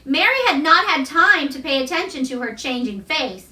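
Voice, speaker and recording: A woman reading a children's novel aloud as expressive storybook narration. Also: sits high, moderate pace. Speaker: storybook reader. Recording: roomy echo, distant microphone.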